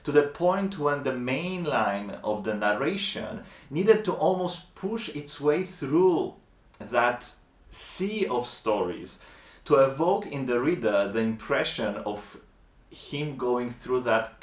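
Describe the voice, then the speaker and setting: Guy reviewing a book, slow and kinda formal, roomy echo. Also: mid-range voice, expressive. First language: Polish. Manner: measured, thoughtful, slightly formal. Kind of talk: reflective book review monologue.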